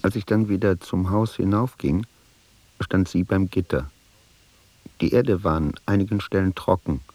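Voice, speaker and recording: An actor playing a reflective man who narrates an uneasy reunion in a literary radio play, measured and sombre. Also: low voice, dark tone, dry recording. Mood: measured, observant.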